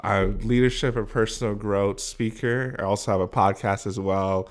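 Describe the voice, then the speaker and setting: A young man in his twenties speaks as a podcast guest in a low voice. He sounds matter-of-fact and steady while describing his work. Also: moderate pace. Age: 20s. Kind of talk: casual podcast chat.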